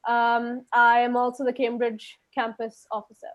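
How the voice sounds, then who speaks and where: A woman's voice: fairly high pitch, monotone, moderate pace, highs cut off. A young woman from India, a student panelist, giving a webinar talk with many hesitant fillers. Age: young adult. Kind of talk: webinar presentation.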